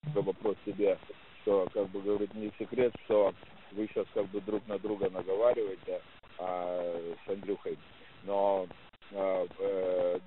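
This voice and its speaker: A man interpreting informally, explaining in a halting way. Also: on the low side. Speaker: translator for a boxer. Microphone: phone call. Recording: narrowband phone conference line.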